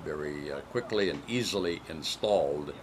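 A man explaining building stone work, halting often with "uh" fillers. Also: extremely low pitch, animated, background noise. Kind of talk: explanatory narration.

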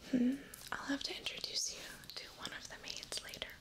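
A woman in her twenties playing a gentle, secretive princess in an ASMR roleplay, in a soft breathy whisper, close to the microphone. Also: natural voice. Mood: tender, caring. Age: 20s. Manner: slow, soothing, coaxing. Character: gentle, secretive young princess caring for a foundling. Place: small quiet room indoors.

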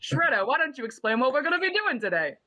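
A young woman hosts with a forceful, bright voice on the high side. She addresses her guests with mock formality and a theatrical flourish, in a playful chat-show style. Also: big pitch swings, quick pace, no room sound. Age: young adult. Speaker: podcast host. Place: indoor room at home, video call. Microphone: video call.

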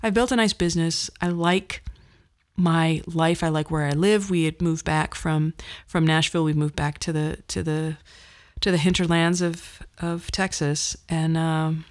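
A woman in her fifties hosting a podcast, telling personal stories in a candid, wry and self-deprecating conversational way. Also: fairly low pitch. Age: 50s. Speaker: podcast host. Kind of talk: personal monologue storytelling, conversational.

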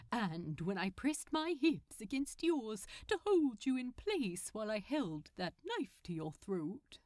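A woman's voice: a little high, a little mellow, no room sound, clean studio sound. A woman voicing a prim, wistful, lovesick male supervillain in a storybook reading, theatrical and earnest. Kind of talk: acted fan fiction audiobook reading.

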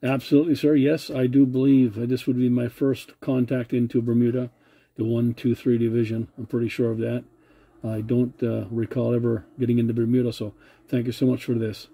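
A man's voice: medium pitch, flat delivery, a little fast, mellow, slight background noise. A man making a CB radio contact from his home radio desk, courteous and using radio procedure phrasing, into a desk mic. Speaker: CB radio operator.

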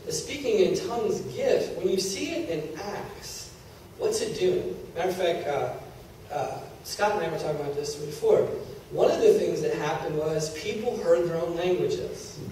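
A man's voice: a little high, a little mellow, a little hiss or hum. A middle-aged man teaching a church congregation in a conversational preaching style, often checking in with his listeners. He holds a handheld mic in a large church hall. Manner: conversational preaching, checks in with "Are you with me?" and "okay?". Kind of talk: sermon teaching. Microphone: handheld mic, filmed from a far camera. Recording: echoey hall from far off.

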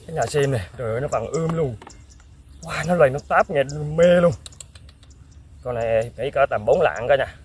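Excitable young man fishing outdoors. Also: medium pitch, moderate pace, pauses often, a little hiss or hum. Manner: excitable, exclaiming often. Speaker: fishing vlogger. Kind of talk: excited outdoor commentary to viewers. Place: outdoors in fields.

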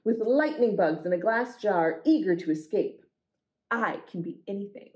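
A woman reading picture books aloud for a children's storytime, with deliberate, expressive phrasing. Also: medium pitch.

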